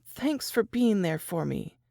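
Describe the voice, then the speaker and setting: A woman acts out a young Southern singer in a period audiobook. She sounds earnest, worried and folksy. Her pitch moves widely and her pace is slow, recorded dry and close. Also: very animated, vocal fry, mellow, no room sound, full character voice. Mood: grateful, subdued. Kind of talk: dramatized audiobook dialogue.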